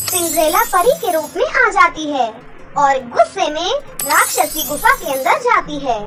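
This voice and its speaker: A woman's voice: very high voice, big pitch swings, measured pace, over music. A woman narrator reading a scripted children's fairy tale.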